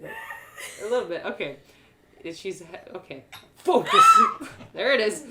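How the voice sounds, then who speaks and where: Expressive woman storyteller with lively pitch. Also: background noise. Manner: expressive, playful. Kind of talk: animated first-person fantasy story narration.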